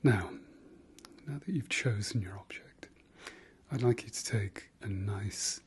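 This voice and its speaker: A man leading an ASMR guided meditation in a soft, breathy whisper, gentle and halting, with pauses and trailing repeats. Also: fairly low pitch.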